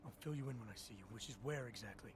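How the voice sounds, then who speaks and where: A man's voice: mid-range voice, very fast, lightly acted. A man playing a terse, stoic field agent in a video game drama, controlled and matter-of-fact. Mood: guarded. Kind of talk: video game cutscene acting. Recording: loud ambient noise behind.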